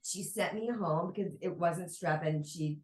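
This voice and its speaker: A woman guest on a podcast, telling a personal story at an unhurried, reflective pace. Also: on the low side, a little mellow. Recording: roomy echo.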